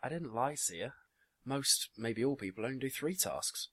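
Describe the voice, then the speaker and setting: A man's voice: mid-range voice, expressive, moderate pace, bright, forceful tone, slightly rough, voice pushed up. A man voicing a meek, evasive but reassuring friend in a story. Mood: evasive, serious. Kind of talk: audiobook character dialogue.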